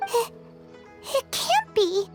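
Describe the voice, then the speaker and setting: Squeaky, extremely high-voiced little girl companion. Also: drawn-out words, faint music behind, heavily acted. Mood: concerned. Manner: squeaky, plain-spoken, expressive. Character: tiny childlike girl companion, earnest and kind-hearted. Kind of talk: video game voice acting.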